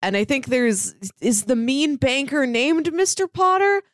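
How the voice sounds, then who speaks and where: A woman's voice: high-pitched, big pitch swings, measured pace, no room sound, studio quality. A woman co-hosting a podcast, talking in a casual, conversational way about a book. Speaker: podcast co-host. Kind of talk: casual book discussion chat.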